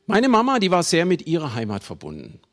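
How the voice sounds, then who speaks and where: A man from Germany preaching a sermon, with emphatic delivery, deliberate pauses and conversational asides to his listeners. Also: fairly high pitch, huge pitch swings. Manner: emphatic, with dramatic slow phrasing and pauses. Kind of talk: sermon to a congregation.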